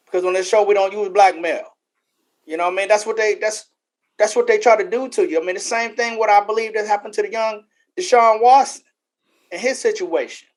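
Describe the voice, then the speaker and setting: American podcast cohost, mid pitched voice, casual and emphatic, repeats stuff to make the point. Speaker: podcast co-host. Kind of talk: casual opinionated panel talk.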